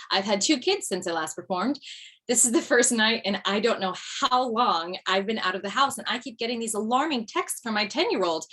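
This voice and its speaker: A woman's voice: medium pitch, bright, forceful tone. A woman playing a self-deprecating mother returning to stand-up, delivering wry and hesitant lines in a table read. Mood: flustered. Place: video call from home.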